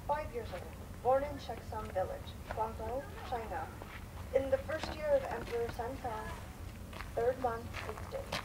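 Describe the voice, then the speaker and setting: A careful, firm immigrant wife under interrogation played by a woman, her voice on the high side. She answers formally, as in a staged period drama. She is picked up from a distance with clear noise. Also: measured pace, lightly acted. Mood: careful, guarded. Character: guarded, insistent immigrant wife under questioning in 1913. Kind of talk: dramatic reading of testimony. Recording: distant microphone in noisy live room.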